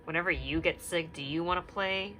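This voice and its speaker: Soft sing-songy lady teacher reading a kids book at home, faint hiss in the back. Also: fairly low pitch, brisk. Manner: gentle, sing-song, asks many questions. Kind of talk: read-aloud lesson for young children.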